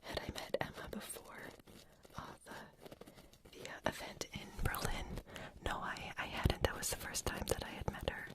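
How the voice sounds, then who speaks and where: Whispering woman hosting an ASMR livestream. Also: moderate pace. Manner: soft whispering, chatting with viewers while making trigger sounds.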